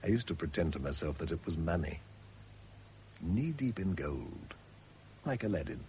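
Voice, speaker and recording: Radio play, male gentle doomed husband, reflective and literary, deep register, slightly noisy old recording. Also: animated, normal speed, muffled recording. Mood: wistful, tender. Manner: literary, reflective, tender, with whispered drops. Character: condemned husband, gentle, reflective, calmly facing death. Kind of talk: classic radio drama acting.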